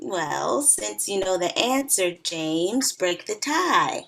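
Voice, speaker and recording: Bright, animated, teasing young woman, read by an actress. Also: mid-range voice, slow, creaky, full character voice. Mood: mock exasperated. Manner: teasing, dry wit. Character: Yvonne, teasing sardonic young woman out with friends. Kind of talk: screenplay table read.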